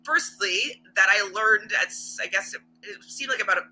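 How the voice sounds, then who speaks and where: A woman's voice: medium pitch, normal speed, bright, forceful tone, breathy edge, poor audio. A woman who is an actress and hypnotherapist, talking casually and reflectively, rambling with many fillers.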